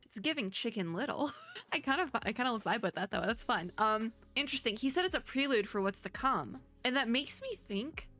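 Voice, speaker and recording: A woman's voice: fairly high pitch, expressive, brisk, sharp tone. A young woman doing casual reaction commentary, chatty and informal, thinking aloud as she goes. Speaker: VTuber reacting to game trailers. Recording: music in the background.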